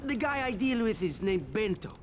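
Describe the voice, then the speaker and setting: Very high-pitched, slippery peddler. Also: male voice, big pitch swings, normal speed, slight background noise. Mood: evasive, nervous. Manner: theatrical, wheedling. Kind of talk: video game cutscene dialogue.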